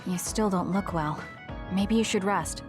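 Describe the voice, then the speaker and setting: A woman's voice: medium pitch, unhurried, lightly acted. An actress playing a composed, blunt but caring young female soldier in a video game. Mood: concerned. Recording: music behind the voice.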